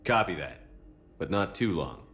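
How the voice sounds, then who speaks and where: A man playing a steady, supportive military commander in a video game, direct and clipped in his phrasing. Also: deep voice, measured pace, faint music behind, heavily acted. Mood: reassuring.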